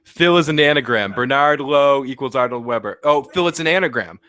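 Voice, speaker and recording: A man's voice: mid-range voice, animated, fairly quick, sharp tone, clean tone. A man with a Boston accent, sick with the sniffles, rambling through a casual TV episode recap with restarts and cursing. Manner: rambling, restarts and swears freely. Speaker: show recap host.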